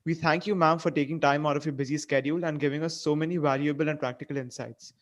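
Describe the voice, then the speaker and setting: A young man recites a formal vote of thanks at a quick clip. His voice sits a little high for a man. He is heard over a video call. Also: steady tone. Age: young adult. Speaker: student.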